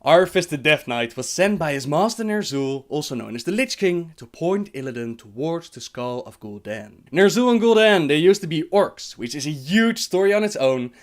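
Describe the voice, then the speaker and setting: A man's voice: a little high, very animated, normal speed, few pauses. A man narrating fantasy game lore from a script.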